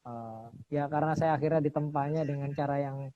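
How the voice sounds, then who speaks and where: A man hosting a casual interview, upbeat, quick to affirm his guest and laugh. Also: a little high, fairly even intonation, no echo. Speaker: host. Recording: dull lo-fi sound.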